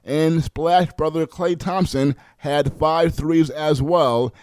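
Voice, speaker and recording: Mellow, higher-voiced male radio sports reporter. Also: steady tone, takes it slowly, smooth, no room sound, clean studio sound.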